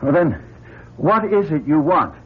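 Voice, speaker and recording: Husky, wildly swooping astronaut. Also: male voice, a little high, big pitch swings, unhurried, full character voice. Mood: wary, firm. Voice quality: husky, rough. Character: earnest, rattled male astronaut pilot. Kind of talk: vintage radio drama acting. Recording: muffled old radio recording.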